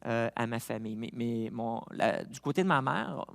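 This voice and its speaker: A man with a Québécois accent, a pastor preaching a sermon who moves from quiet reflection to loud, rising appeals, with chatty personal asides. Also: mid-range voice, animated, moderate pace, gravelly. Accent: Québécois French. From Quebec. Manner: chatty asides with fillers and laughs.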